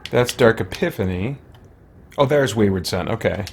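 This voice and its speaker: A man's voice: on the low side, expressive, normal speed, creaky, a little mellow, slight background noise. Man muttering through casual gameplay commentary. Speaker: gaming video host roleplaying a character. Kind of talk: casual let's play commentary.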